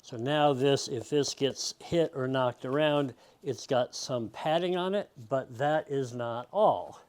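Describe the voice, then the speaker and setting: A man's voice: medium pitch, slow, a little mellow. A man giving a casual, patient step-by-step how-to demonstration, explaining as he goes. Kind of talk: casual step-by-step tutorial talk.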